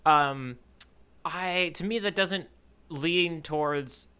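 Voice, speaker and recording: A man's voice: on the high side, slow, sharp tone, smooth. A man co-hosting a podcast in casual chat, musing aloud and hedging with "like" and "you know". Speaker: podcast co-host reading the manga for the first time.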